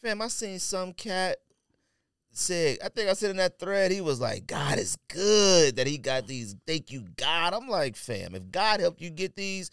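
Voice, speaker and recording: A male podcast co-host with a lively, swinging delivery and a smooth, clean tone. He talks casually in slang, opinionated and ribbing with some exasperation, recorded close and dry. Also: high voice, measured pace, dry recording. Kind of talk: casual sports and sneaker banter.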